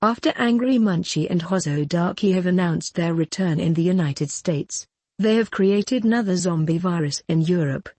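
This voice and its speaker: A woman announces an official emergency bulletin with impersonal, measured phrasing. Her pitch is somewhat low and the sound is very dry, formal and scripted throughout. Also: a little mellow, no room sound.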